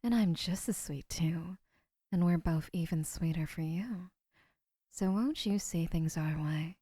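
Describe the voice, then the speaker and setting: A woman playing a doting, obsessive young woman who keeps the listener, speaking in a soft, whispery, sweetly possessive way in an audio roleplay. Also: lowish voice, unhurried, husky, no room sound, studio quality.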